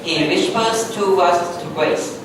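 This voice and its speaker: A woman's voice: on the low side, slow pace. Distant, echoey woman narrator. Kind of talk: scripted narration, readers' theatre. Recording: very echoey room, distant mic, noisy.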